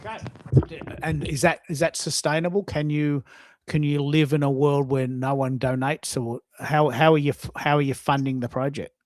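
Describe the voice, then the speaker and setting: A man's voice: on the high side, steady tone, normal speed, dry recording. A man hosting a live coaching talk, repeating words for emphasis. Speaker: host and social media coach. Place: dry room, online live stream.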